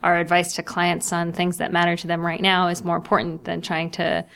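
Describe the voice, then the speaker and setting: A woman co-hosting a podcast, chatting casually and disagreeing in a measured, friendly way. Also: on the low side, monotone, gravelly, fairly bright tone. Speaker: nonprofit lawyer and podcast co-host. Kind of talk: casual conversation, friendly debate.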